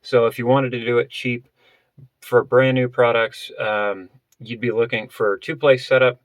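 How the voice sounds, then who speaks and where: A man vlogger explaining gear in a casual, unscripted way, halting and often rephrasing as he goes. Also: medium pitch, steady tone, no room sound. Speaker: vlogger presenting a budget gear setup.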